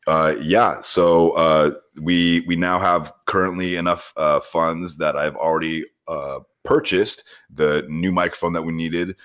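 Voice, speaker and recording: Man, low-pitched, bright punchy tone, animated delivery, casual podcast banter. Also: measured pace, few pauses. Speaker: podcast co-host who works at a car dealership. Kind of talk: casual joking banter with co-hosts.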